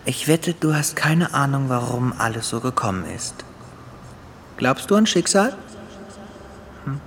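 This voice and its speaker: An actor playing a sadistic, mocking crime boss in a radio play, musing theatrically with little chuckles. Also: male voice, mid-range voice, animated, unhurried, a bit bright, a little hiss or hum. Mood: gloating, musing. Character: sadistic male crime boss gloating over his dying victim.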